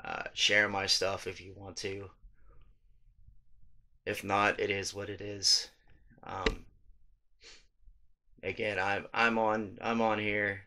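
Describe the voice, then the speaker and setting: A man's voice: on the low side, flat delivery, slow pace, creaky, long pauses, sharp tone. A man in his thirties vlogging late at night in a small room, rambling with many ums and restarts and casual swearing. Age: 30s. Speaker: YouTuber. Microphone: webcam or handheld phone at close range.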